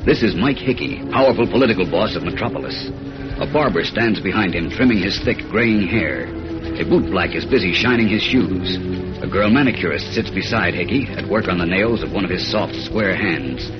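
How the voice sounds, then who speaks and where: A man's voice: deep voice, animated, fairly quick. A man with an American accent reading scripted scene-setting narration for a 1940s radio drama. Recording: muffled old radio recording with music.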